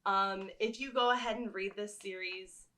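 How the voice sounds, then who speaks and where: A woman's voice: medium pitch, fairly even intonation, normal speed, pushed and bright. A woman talking casually to her viewers as a vlogger, telling stories in an enthusiastic, rambling way. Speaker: vlogger and fan fiction writer. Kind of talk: casual chatty talk to viewers.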